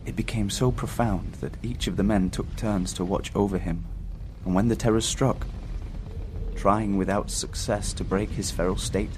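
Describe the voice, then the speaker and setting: An actor playing a haunted seafarer recounting a doomed voyage in solemn, scripted first-person horror narration. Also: male voice, sits low, noisy background, lightly acted. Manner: solemn, literary storytelling.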